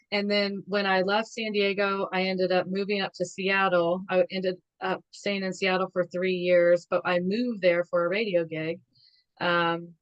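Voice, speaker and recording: A woman's voice: lowish voice, dull audio. A woman from the American Midwest, a podcast guest, chatting about her background with many fillers. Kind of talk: casual interview storytelling.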